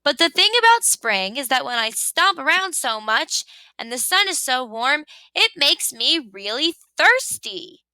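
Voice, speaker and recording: Woman as a grumbling, cranky storybook rabbit, high-voiced. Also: very animated, measured pace, bright, forceful tone, no echo, full character voice, poor audio. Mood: resigned. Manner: grumbly, complaining, emphatic. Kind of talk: children's storybook read-aloud.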